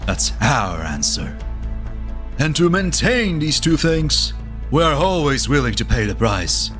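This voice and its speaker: A man's voice: mid-range voice, sing-song, a little slow, fairly bright tone. A man playing a folksy vice president, delivering a rhetorical, declamatory political speech. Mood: proud, resolute. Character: folksy, rousing male vice president making a public speech. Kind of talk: political oratory. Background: faint music.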